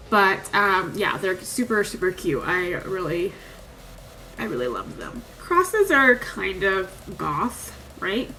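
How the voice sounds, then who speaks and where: A woman's voice: medium pitch, a bit bright, rough recording. A young woman in her twenties chatting casually through a makeup tutorial, a bit nasal from a cold. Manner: chatty and upbeat, lots of "like" and "super". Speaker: beauty vlogger. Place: small room at home with a sparkly backdrop.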